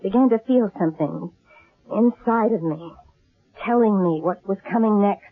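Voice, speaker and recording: A woman's voice: medium pitch, moderate pace, lightly acted. An actress playing a shrewd, middle-aged female fortune-teller and con artist, commanding and portentous, in old-time radio drama style. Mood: uneasy, earnest. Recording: thin, narrow-band old radio recording.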